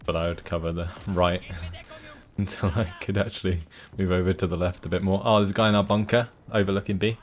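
Deep-voiced young man on voice chat. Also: little pitch movement, brisk. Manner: laid-back, laughs easily, calls out enemies. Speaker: gaming teammate. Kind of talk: casual voice chat.

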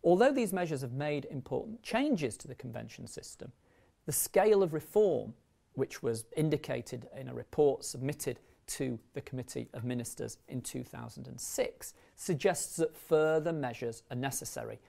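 A man in his thirties giving a formal, measured academic lecture as a university law lecturer, speaking into a lapel mic. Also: medium pitch, expressive, vocal fry, warm tone. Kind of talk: academic lecture, partly read from notes. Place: indoor lecture recording room, at a lectern.

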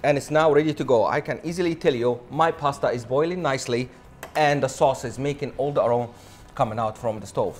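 A man in his thirties presenting a cooking demonstration as a chef, with an enthusiastic, showy manner. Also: medium pitch. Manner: enthusiastic, showy, addresses audience as a showman. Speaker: chef hosting a cooking show. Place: indoor modern studio kitchen. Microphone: lapel mic.

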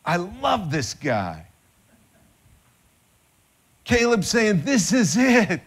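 A man in his fifties preaching a sermon from a church stage, animated and gesturing, his voice rising when excited. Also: high voice, very slow, breathy edge. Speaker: pastor. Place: church stage with band instruments.

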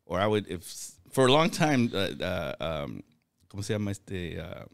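A man with a fairly low voice talks as a guest on a podcast, easygoing and laughing often. He slips Spanish words into his English. The recording is dry and close. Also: big pitch swings, moderate pace, vocal fry, dry recording. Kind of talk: casual podcast chat.

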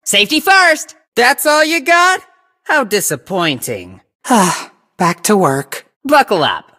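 A woman's voice: medium pitch, very animated, takes it slowly, pushed and bright, exaggerated voice. A woman voicing a cute, lazy slacker fox from a video game, complaining wryly. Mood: cocky, playful. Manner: lazy, wry, sighing complaints. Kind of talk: video game character voice lines.

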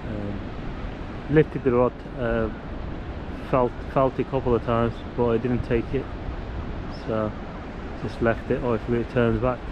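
A middle-aged man from Wales giving chatty, halting commentary outdoors by the sea, with many fillers. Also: medium pitch, fairly even intonation, dull audio. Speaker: fishing vlogger. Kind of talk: casual outdoor vlog commentary. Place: open beach by the sea at sunset, windy. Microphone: action camera on a tripod nearby. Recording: wind noise on an outdoor camera mic. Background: wind.

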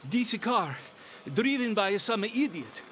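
An actor playing a blunt bystander who describes an accident. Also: male voice, sits high, sing-song, slow pace, crowd noise, heavily acted.

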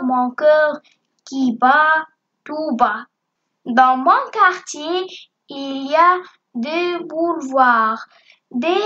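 Woman reading a kids poem super slow n careful, high voice, muffled lofi sound. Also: fairly bright tone. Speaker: poem reciter for primary school pupils. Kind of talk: slow poem recitation for children. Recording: dull, lo-fi sound.

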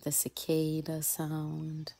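Woman doing guided meditation, super slow and soft, breathy at the ends of phrases, birds chirping quietly behind her. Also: sits low, completely flat delivery. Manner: soothing, gentle, with long pauses between short phrases. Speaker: yoga and mindfulness teacher leading a guided meditation. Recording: faint ambient noise with birdsong. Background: birdsong and nature ambience.

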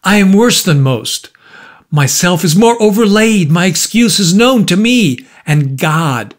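Storybook murderer, performed by a male narrator: raised pitch, anguished, exclamatory, desperately self-justifying. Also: animated, a little slow. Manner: impassioned, exclamatory, rhetorical. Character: anguished male murderer, defiant, tormented, pleading.

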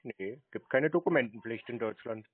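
A man with a mid-pitched voice plays naive on a telephone call. He needles the other party with short, stubborn questions that he keeps repeating. The sound is dry and thin, as over a phone. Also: expressive, normal speed, no echo. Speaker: prankster who baits phone scammers. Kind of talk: improvised prank phone call.

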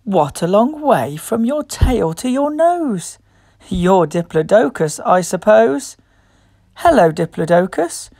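A woman reading a picture book aloud to young children as host, expressive, playful and encouraging. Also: medium pitch, takes it slowly, creaky, dry recording. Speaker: children's storybook reader and host.

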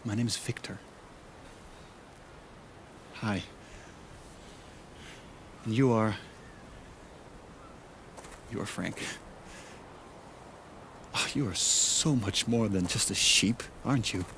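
An actor playing an eager, persuasive male salesman who coaxes and flatters in a theatrical way in an animated film. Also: on the low side, slow pace, long pauses, slight background noise.

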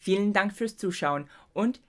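A woman's voice: medium pitch, a little slow. Young woman, careful tutor. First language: English. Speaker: language tutor. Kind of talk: scripted self-introduction to camera. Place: home room with bookshelves.

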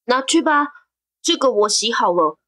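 A woman's voice: a little high, animated, unhurried, bright, forceful tone, heavily acted. A woman playing a curious, easily frightened young teenage boy in an audio drama. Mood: pondering, uneasy. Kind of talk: Mandarin audio drama acting.

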